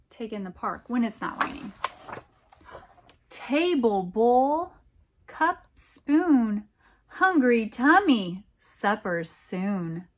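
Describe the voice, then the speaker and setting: A middle-aged American woman reads a picture book aloud, adding expressive, wondering comments for young listeners. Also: mid-range voice, a little hiss or hum. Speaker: storytime reader and teacher.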